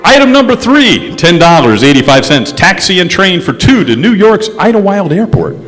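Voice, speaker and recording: A wry male investigator , played by a stage actor, narrates his case like a 1950s radio detective. His fairly high voice swings widely in pitch, heard in a reverberant hall with music underneath. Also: very animated, moderate pace, a bit bright. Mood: wry, confiding. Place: stage in a historic courthouse hall.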